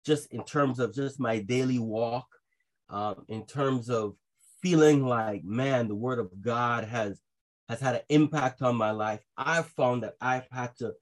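A man raised in Jamaica and Trinidad, preaching a sermon to a congregation over a video call, earnest and halting, with frequent restarts. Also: medium pitch.

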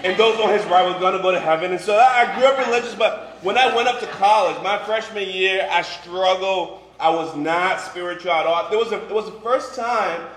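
High-voiced young man preaching in an echoey room. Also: brisk, few pauses, fairly bright tone. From Liberia, moved to Boston at thirteen. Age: 20s or 30s. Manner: conversational, joking, peppered with "you know" and "right". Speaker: campus minister, guest preacher. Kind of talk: informal sermon to a live congregation. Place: large room before a congregation, some ambient noise. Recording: echoey room, faint background noise.

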